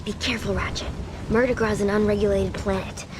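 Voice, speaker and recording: An actress playing a cautious, caring companion to a space hero in a video game, earnest in manner. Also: female voice, medium pitch, steady tone, a little fast, background noise, lightly acted. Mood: concerned. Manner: earnest, warning.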